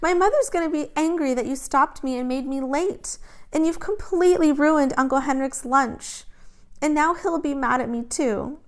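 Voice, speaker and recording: Woman reader as high-pitched little girl, whiny, indignant, childlike, storybook character. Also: normal speed, natural voice. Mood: tearful, whiny. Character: frightened ten-year-old girl feigning silly, insolent chatter.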